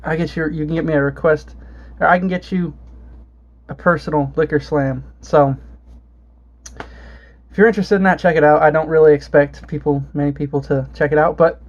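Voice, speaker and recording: A man's voice: on the high side, steady tone, brisk. A young man from Florida chatting casually as a vlogger, rambling and swearing with lots of fillers and restarts. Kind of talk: casual chat. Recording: dull lo-fi home recording.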